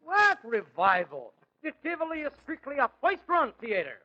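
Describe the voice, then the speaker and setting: A muffled vintage radio recording of a haggling cinema owner. His voice is extremely high, and he sells and wheedles in broad 1940s comedy style. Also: huge pitch swings, normal speed, heavily acted. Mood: proud, matter-of-fact. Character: haggling, fast-talking small cinema owner.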